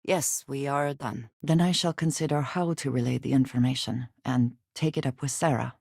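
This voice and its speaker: Guarded female sci-fi game companion, gravelly edge, formal measured diction, devout and loyal, very dry close sound. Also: rumbling low, fairly even intonation, darkish tone, dry recording, heavily acted. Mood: guarded, composed. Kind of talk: video game character dialogue.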